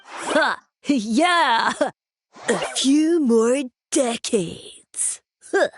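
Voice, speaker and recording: A woman's voice: high voice, expressive, drawn-out words, exaggerated voice. A woman voicing a small, gullible mushroom creature in a video game, gushing and goofy. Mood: gleeful. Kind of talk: video game character acting. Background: faint game music.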